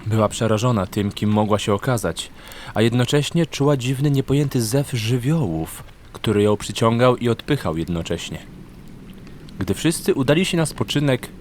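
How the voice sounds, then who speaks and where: A man's voice: mid-range voice, expressive, normal speed, darkish tone, slight background noise. A man narrating a scripted fantasy story as an audiobook narrator. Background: background music.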